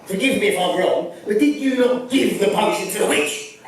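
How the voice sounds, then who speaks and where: A man's voice: high-pitched, normal speed, natural voice. A man with a Donegal Irish accent playing a gossipy, teasing pantomime dame given to nagging, saucy banter. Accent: Irish, County Donegal. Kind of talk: pantomime stage comedy. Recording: noisy echoey theatre hall from far off.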